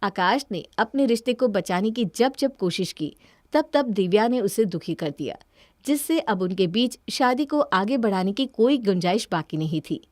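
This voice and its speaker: A woman's voice: mid-range voice, lively intonation, moderate pace, a little mellow, dry recording, studio quality. A woman reading scripted story narration.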